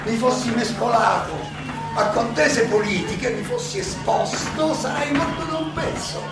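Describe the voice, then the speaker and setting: A man acts out an aging philosopher addressing his judges in a slow theatrical monologue. He is halting and rhetorical, pausing and repeating himself for weight. The voice is gravelly and comes from far off in a noisy, reverberant space. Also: extremely high pitch, over loud music, heavily acted. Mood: earnest, reflective. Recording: distant, very echoey, noisy stage recording.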